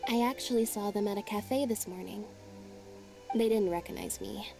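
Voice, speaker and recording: Woman voicing a calm, reserved young woman. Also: mid-range voice, vocal fry, lightly acted. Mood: heartfelt. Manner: even, composed, measured. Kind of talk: video game voice acting. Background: game music.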